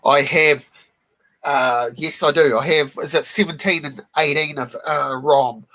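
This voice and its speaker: Guy with a bit of a high croaky cold voice doing a chill livestream, saying hi to chat, clearing his throat a lot. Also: fairly even intonation, a little slow, sharp tone. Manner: greets chat viewers one by one, clears throat often. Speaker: live stream host showing comic books. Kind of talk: casual live stream chat.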